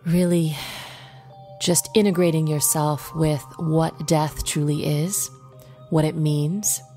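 A woman leading a guided meditation in an unhurried way, pausing between short phrases. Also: low-pitched, level tone, mellow, soft background music.

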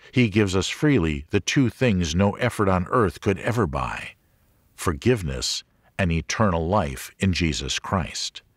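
A man reading a religious audiobook as a scripted narration, in a measured, clearly enunciated manner. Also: low-pitched, no room sound, studio quality.

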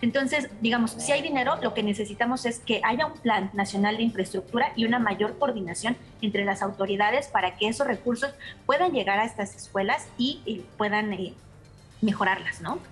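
A woman researcher, speaking as a guest on a video call in a live news interview, measured and explanatory with frequent fillers. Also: fairly high pitch, faint music behind.

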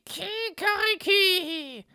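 A proud fairytale rooster crows in a piercing high voice. Its calls are long and drawn out, like a herald's announcement. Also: male voice, pushed and bright, no room sound, studio quality, exaggerated voice. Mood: proud crowing. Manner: drawn-out crowing. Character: crowing rooster announcing news from a well.

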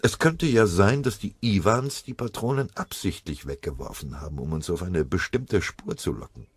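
A man's voice: low-pitched, moderate pace, breathy edge, no room sound. A man playing a stubborn, suspicious army private in a war audiobook, grumbling and mocking. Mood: uneasy.

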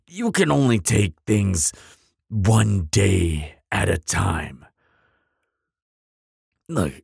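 A man voicing a proud, grumpy but soft-hearted bogeyman in an audio roleplay, with a gravelly rasp and a pompous, easily flustered delivery. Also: on the low side, no echo, studio quality, full character voice. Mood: gruffly encouraging. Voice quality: raspy, gravelly. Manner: pompous, flustered stammering, dramatic.

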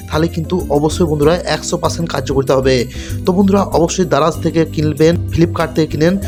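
A man narrating a step-by-step tech tutorial, repetitive and insistent as he addresses viewers as friends. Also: on the high side. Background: background music.

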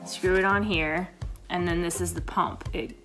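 A woman with a slightly raspy voice chatting casually to camera in her kitchen, rambling with lots of fillers. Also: fairly low pitch, fairly even intonation, normal speed, over music. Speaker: vlogger, paramedic. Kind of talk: casual coffee chat to camera. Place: home kitchen, indoors.

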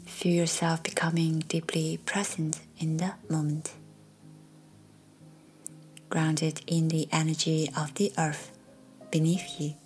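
A woman guiding a meditation in a soft, soothing, breathy voice that often nears a whisper, leaving long pauses. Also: low voice, completely flat delivery. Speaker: meditation guide. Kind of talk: guided meditation narration. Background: faint music.

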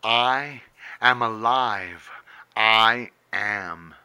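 A middle-aged man reciting his own poetry as dramatic spoken word, intense and theatrical, with long pauses and emphatic bursts, close to a camera indoors. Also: lowish voice, sharp tone, smooth. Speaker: poet reading own poem. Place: indoors, small book-lined room. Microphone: handheld camera very close to face.

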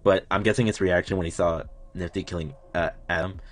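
A man in his twenties with a low-pitched voice hosts a casual reaction video from a small room at home. He talks off the cuff in a rambling, excited fan's way, with some mild swearing. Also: a little fast. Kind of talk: casual unscripted reaction commentary.

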